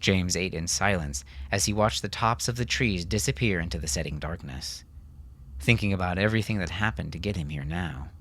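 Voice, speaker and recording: Very low-voiced man narrating a story. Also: fairly quick, fairly bright tone. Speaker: audiobook narrator.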